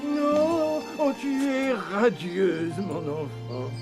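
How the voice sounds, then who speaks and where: A man voicing a fond, hesitant old king in a French cartoon dub, speaking haltingly. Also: high voice, loud music behind the voice. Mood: fond, flustered.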